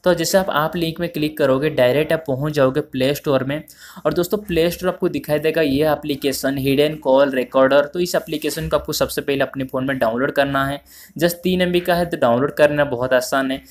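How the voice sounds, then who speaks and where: A man's voice: a little high, fairly even intonation, a little fast. A man narrating an informal phone tutorial, explaining step by step with repetition and addressing viewers as friends. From India. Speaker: tutorial host.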